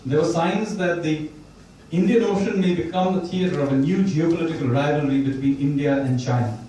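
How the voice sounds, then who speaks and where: A man, an author at a bookstore event, talks about his book and reads a passage from it, pausing often and restarting his sentences. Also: a little high, warm tone, a little hiss or hum. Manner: thoughtful, with pauses and restarts. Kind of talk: talk and reading from his book. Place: bookstore event room, indoors. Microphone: distant mic or none. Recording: very echoey room, voice from far off.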